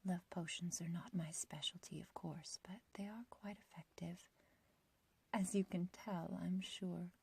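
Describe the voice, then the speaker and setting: A woman's voice: fairly low pitch, fairly even intonation, unhurried, no room sound, full character voice. A woman playing an ancient but youthful witch in a whispered ASMR roleplay, obsessively loving and possessive, with archaic, intimate phrasing. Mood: adoring, triumphant. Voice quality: breathy, whispery.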